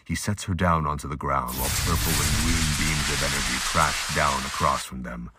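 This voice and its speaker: Very deep-voiced man narrating. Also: steady tone, moderate pace, music in the background. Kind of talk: scripted audiobook-style narration.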